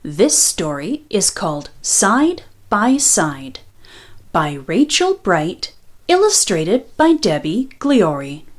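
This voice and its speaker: Animated, slow-paced woman reading a storybook. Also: medium pitch. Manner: measured, rhythmic rhyme reading. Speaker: children's book reader and host. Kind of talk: expressive read-aloud storybook narration.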